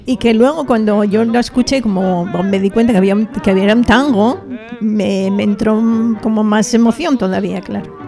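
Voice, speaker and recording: A middle-aged woman with a Castilian Spanish accent, chatting as a guest in a radio studio. Her voice is dark and mellow with a gravelly edge. She reminisces warmly and laughs easily, with faint music playing behind her. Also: mid-range voice, normal speed. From Spain. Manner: warm, reminiscing, laughs easily, some restarts. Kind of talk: casual radio chat.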